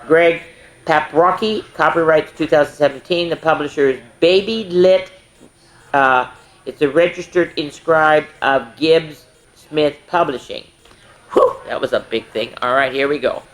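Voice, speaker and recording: A woman's voice: low voice, low-quality recording. Older woman hosting children's story time. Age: older, grandmother. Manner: playful, animated, chatting in asides to young listeners. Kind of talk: reading picture books aloud to children.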